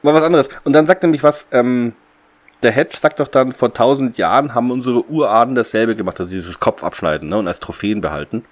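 A man's voice: medium pitch, a little fast. Man chatting casually as podcast co-host. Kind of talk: casual chat recapping a radio play.

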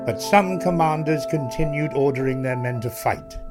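A man's voice: fairly high pitch, normal speed, close to an everyday voice. A man narrating history from a script as part of a radio play. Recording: piano music behind the voice. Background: piano music.

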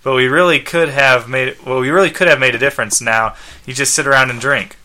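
A man's voice: mid-range voice, fairly even intonation, a little fast, pushed and bright. A man voicing a polite, concerned robot butler companion from a comic book, earnest and deferential. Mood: earnest, pleading. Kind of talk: comic book read aloud.

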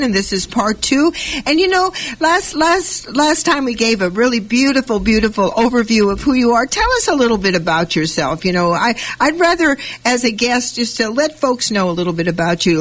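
Lady hosting community radio, medium pitch, gushes a bit and says things twice for emphasis. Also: animated, fairly quick, hardly any pauses, poor audio. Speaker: radio show host. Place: radio broadcast.

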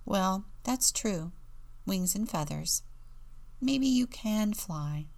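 A woman's voice: mid-range voice, expressive, slow, a little mellow. Woman voicing a kindly, matter-of-fact female seabird. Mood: pondering. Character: big kindly female seabird, pondering, singing advice.

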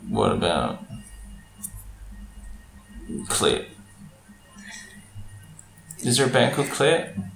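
An interviewer with a gravelly voice that swings lively up and down. They tease the guest and joke through a casual chat. Faint music sits behind the voice in an echoey room. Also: mid-range voice, extremely slow, pauses often. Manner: teasing, joking.

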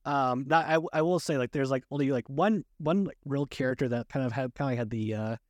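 A man co-hosting a podcast, chatting informally and haltingly about films and games. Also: mid-range voice, no echo, studio quality. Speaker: podcast co-host. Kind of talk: casual movie and game discussion.

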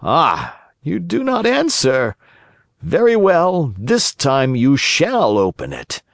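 A man's voice: a little high, huge pitch swings, creaky, no room sound, studio quality, pitched above the performer's own voice, heavily acted. A man voicing menacing, mocking assassins in a storybook reading. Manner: threatening, drawn-out taunts.

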